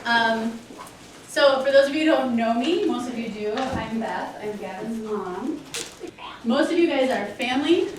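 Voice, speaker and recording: A woman projects a big teacher voice across a crowd of volunteers. She is recorded from a distance in a very echoey hall, with clear background noise. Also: a little high, measured pace. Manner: loud teacher-style projecting voice. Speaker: mother organizing volunteers.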